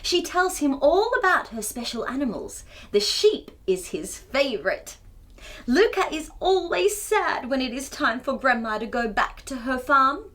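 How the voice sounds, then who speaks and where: A woman with a high, very lively voice presents a children's storytime. She takes her time, reading expressively and breaking off with exclamations and questions for the little ones. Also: a little slow. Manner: animated, exclaiming, asks the young listeners questions. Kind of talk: expressive read-aloud storytelling for young children.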